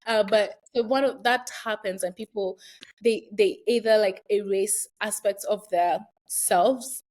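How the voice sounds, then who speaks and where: A woman's voice: mid-range voice, fairly even intonation, measured pace, a little mellow. A young woman with a Kenyan accent, a university student talking casually and reflectively as an interview guest, with frequent fillers. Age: young adult.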